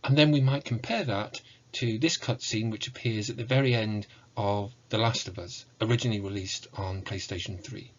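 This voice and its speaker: A middle-aged man delivers an online lecture. His voice is lowish and husky, his delivery measured and explanatory. The recording is a little muffled. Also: steady tone. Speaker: university lecturer in music. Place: small indoor room with bookshelves.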